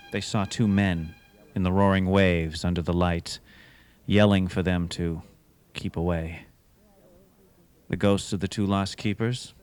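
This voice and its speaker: An actor playing a grave, ominous narrator of a scripted radio ghost story. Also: male voice, lowish voice.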